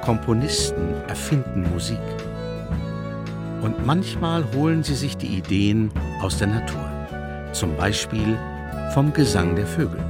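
A male narrator tells a children's story in short, deliberate phrases. His pace is slow and his slightly low voice moves expressively, over prominent guitar music. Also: animated.

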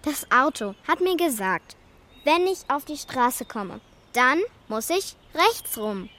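Earnest little girl, high voice, direct, anxious yet brave, children's radio drama. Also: very animated, takes it slowly, sharp tone, full character voice. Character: earnest, anxious, determined young girl on a rescue. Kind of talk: children's radio play acting.

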